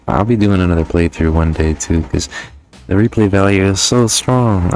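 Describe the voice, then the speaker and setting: Young guy, low voice, casual sweary gaming youtuber review, bit of music underneath. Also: a little mellow. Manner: informal, heavily sweary. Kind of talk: casual video game review commentary.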